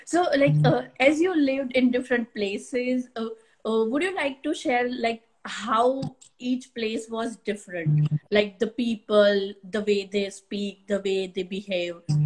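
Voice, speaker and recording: A woman's voice: a little high, unhurried, a little mellow, low-quality recording. Hesitant woman interviewer from India. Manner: hesitant, many fillers, encouraging. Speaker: interviewer, host. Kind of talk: casual video call interview.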